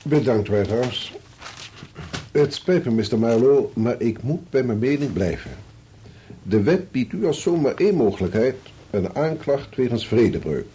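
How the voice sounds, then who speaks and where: An actor playing a courteous, sober senior lawyer in a radio play, speaking formally and in a measured way. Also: male voice, medium pitch, animated, warm tone, natural voice. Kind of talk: Dutch radio play acting.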